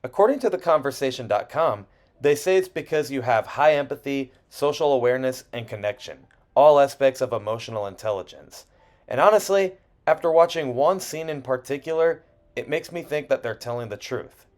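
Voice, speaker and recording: A man's voice: mid-range voice, lively intonation, fairly quick, a little mellow. A man reading a scripted video essay in an earnest, personal way with emphatic phrasing. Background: background music.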